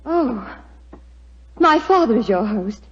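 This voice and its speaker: A woman playing an anxious, well-bred young lady of the manor in a 1940s radio drama, brisk and polite. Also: on the high side, expressive. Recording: muffled, noisy old radio recording.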